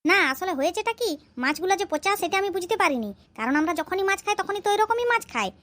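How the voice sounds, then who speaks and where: A woman's voice: very high-pitched, expressive, fast talker, a bit bright. A woman voicing a whiny hapless bridegroom. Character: hapless young village bridegroom.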